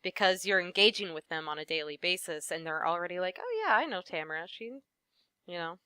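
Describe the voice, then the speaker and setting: A woman voice actress, a guest in a casual interview, giving thoughtful advice and often trailing off softly at the ends of her points. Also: fairly low pitch, big pitch swings, a little fast, smooth, no room sound, clean studio sound.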